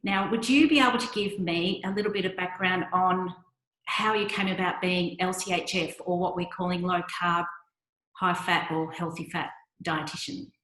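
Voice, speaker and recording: A woman's voice: on the low side, steady tone, moderate pace, fairly bright tone, roomy sound, poor audio. A woman hosting a podcast, asking a guest questions in a chatty way with restarts. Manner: chatty, restarts mid-phrase. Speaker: podcast host. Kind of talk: interview questions.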